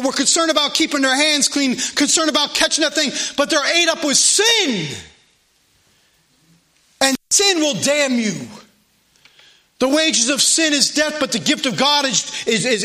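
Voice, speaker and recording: Male preacher, American accent, extremely high-pitched, sharp and intense delivery, fervent sermon style with emphatic repetition. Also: animated, normal speed. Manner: emphatic, exhorting, repeats phrases for emphasis. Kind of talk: fervent sermon with scripture readings.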